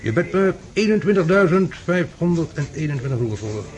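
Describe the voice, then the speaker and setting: An actor playing a suave, taunting gambler in a radio drama, mock-courteous and smug. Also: male voice, fairly high pitch, very animated, normal speed, darkish tone. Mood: coolly calculating. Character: suave, taunting male gambler, coolly gloating over his victim. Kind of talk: radio drama acting in Dutch. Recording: radio play with crowd noise behind.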